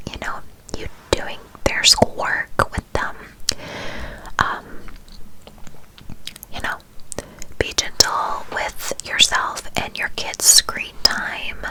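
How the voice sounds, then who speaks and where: A woman whispering softly and soothingly to her viewers, calling them her loves. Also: low-quality recording. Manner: soft, slow, soothing, addresses listeners as "my loves". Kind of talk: whispered ASMR-style talk.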